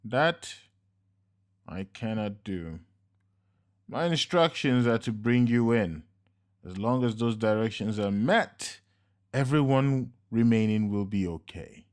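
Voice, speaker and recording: A suave henchman with polished manners drawls each word slowly, played by a man. His courtesy is calm and smug, with a low voice and menace underneath. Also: clean tone. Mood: smooth. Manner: slow, measured, courteous and smug. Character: suave, menacing male henchman, coolly polite.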